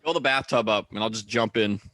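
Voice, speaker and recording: A man's voice: lowish voice, a little fast, sharp tone, no room sound. A man co-hosting a casual chat, trading teasing jokes with his friends. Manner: teasing, joking banter. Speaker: podcast co-host.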